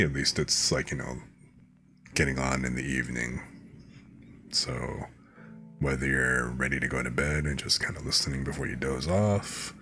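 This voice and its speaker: A hoarse man hosting a live stream, chatting in a relaxed, rambling, soft-spoken way. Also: very deep voice. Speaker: streamer host. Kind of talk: laid-back stream chat.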